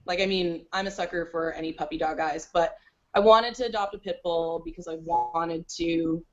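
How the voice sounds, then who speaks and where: A woman chats as a podcast guest, her words tumbling out quickly and sprinkled with "like." Her voice sits fairly low, and the call audio sounds muffled and lo-fi. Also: lively intonation. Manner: quick, chatty, peppered with "like". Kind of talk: casual interview chat.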